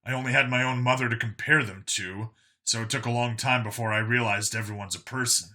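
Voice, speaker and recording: A man's voice: medium pitch, steady tone, a little fast, bright, forceful tone. A man voicing a tough human enforcer in an audiobook story, explaining himself in an earnest, blunt way. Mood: reflective.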